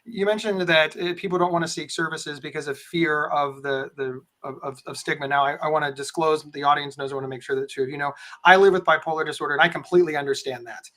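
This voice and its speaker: A man with a big booming voice hosts a live online discussion. He rambles and repeats words as he works toward his questions. His voice sits a little higher than most men's. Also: fairly even intonation, quick pace, few pauses, pushed and bright. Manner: rambling with frequent restarts. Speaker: host. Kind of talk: live webinar moderating.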